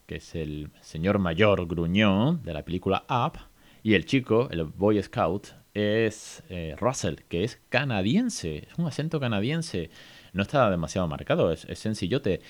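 A man hosting an educational podcast, chatty and relaxed, often asking his listeners questions. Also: low voice, a little slow. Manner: chatty, many fillers, asks listeners questions. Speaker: podcast host. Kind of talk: casual educational podcast talk.

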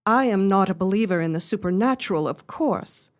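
A woman playing an earnest, genteel young lady in a radio play, telling her story in formal, measured phrases. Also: mid-range voice, lively intonation, close to an everyday voice. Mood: earnest, grave.

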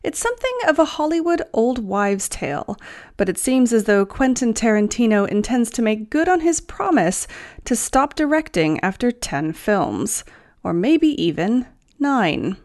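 A woman's voice: fairly high pitch, big pitch swings, a little slow, a little mellow. A woman hosting a podcast, reading scripted entertainment news. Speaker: podcast host and newsreader.

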